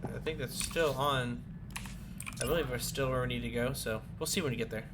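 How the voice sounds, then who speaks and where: Casting: male streamer, mid-pitched, casual playthrough commentary, noticeable noise and keyboard clatter behind. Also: a little fast. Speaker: gaming streamer. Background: keyboard typing.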